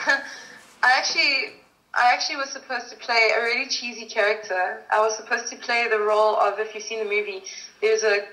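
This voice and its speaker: A woman's voice: a little high, steady tone, fairly quick, pushed and bright. A woman from South Africa, a guest actress telling stories in a casual interview, chatty and laughing often. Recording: echoey room.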